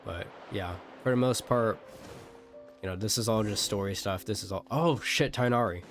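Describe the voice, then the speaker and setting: Male game streamer, lowish voice, off-the-cuff and rambling, talking to himself over background music. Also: moderate pace. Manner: rambling, thinking aloud. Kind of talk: casual live gameplay commentary.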